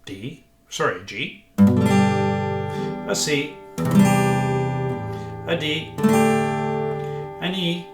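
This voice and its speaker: A man's voice: a little high, big pitch swings, extremely slow, pauses often. An older man vlogging casually about learning guitar, folksy and self-deprecating, sounding a bit congested. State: congested, recovering from illness. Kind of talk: casual vlog chat. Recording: echoey room, guitar playing.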